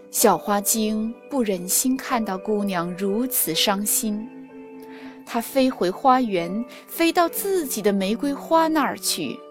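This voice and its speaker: A woman with a standard Mandarin accent narrating a fairy tale for children in an expressive, carefully enunciated manner, as a storyteller and teacher would. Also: a little high. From China. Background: music under the narration.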